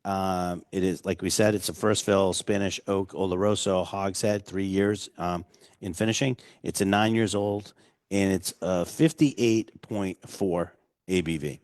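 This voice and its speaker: Man, very deep voice, dry close sound, chatty rambling host, jokey. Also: steady tone, unhurried, a little mellow, no room sound. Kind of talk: casual whiskey tasting chat.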